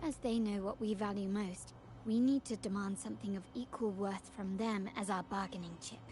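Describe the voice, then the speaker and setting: A woman's voice: medium pitch, steady tone, normal speed, a little mellow, close to an everyday voice. A woman playing a poised, commanding young mafia heiress in a video game, composed and decisive, with an imperious manner. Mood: calm, decisive.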